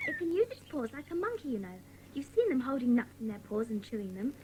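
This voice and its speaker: Excitable young schoolgirl, very high, animated voice, children's radio-play style, slight background noise. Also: fast talker. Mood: excited, insistent. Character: chatty, excitable, soft-hearted young schoolgirl of the Secret Seven. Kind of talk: dramatised children's audiobook acting.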